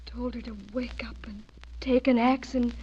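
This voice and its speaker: A fragile, haunted young woman in a melodramatic radio thriller. Her voice is fairly high yet dark and husky, hesitant and pleading. The recording is muffled. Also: flat delivery, moderate pace. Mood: haunted, eerie. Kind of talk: melodramatic radio horror acting. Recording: muffled radio drama audio.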